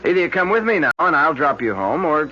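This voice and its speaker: A lively, blunt young suitor. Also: male voice, on the high side, fast, slight background noise. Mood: blunt, impatient. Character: blunt young man Jeff, Marge's suitor. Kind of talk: 1970s radio drama acting. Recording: dull lo-fi old radio broadcast with music.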